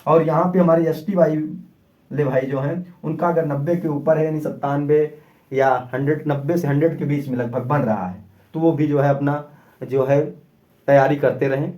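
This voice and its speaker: A man's voice: fairly high pitch, fairly even intonation, normal speed, warm tone. A man giving an informal, slightly rambling explanatory talk to students as a coaching presenter. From India.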